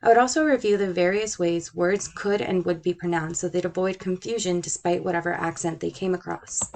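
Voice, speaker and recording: Young woman, 20s, teacher type, slight Brooklyn accent, measured scripted storytelling with a gravelly edge. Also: on the low side, fairly even intonation, a little mellow, slight background noise. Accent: slight Brooklyn-tinged American. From Puerto Rico. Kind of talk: personal narration read from a script.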